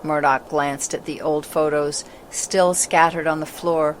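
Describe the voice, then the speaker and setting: A woman narrates a scripted storybook. The recording carries clearly audible background noise and ambience around her reading. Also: sits low, fairly even intonation, a little slow, low-quality recording.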